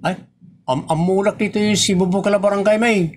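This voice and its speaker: Brash Ilocano actor as a shameless son, high-pitched. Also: male voice, fairly even intonation, fairly quick, slightly rough. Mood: indignant. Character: brash, shameless grown son bickering with his mother. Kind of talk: Ilocano comedy audio drama acting.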